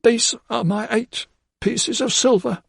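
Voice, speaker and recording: A man's voice: extremely high pitch, fairly even intonation, slow, creaky, breathy edge, no echo, clean studio sound, pitched above the performer's own voice, exaggerated voice. A man voicing a remorseful woman housekeeper who speaks in a quavering, pleading voice. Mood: tearful pleading.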